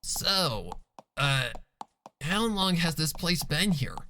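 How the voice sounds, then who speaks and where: A man's voice: a little high, sing-song, slow, pushed and bright, a little hiss or hum, pitched above the performer's own voice, exaggerated voice. A man voicing a young female pegasus pony, blunt and informal, in a storybook reading. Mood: curious. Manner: informal, hesitant small talk.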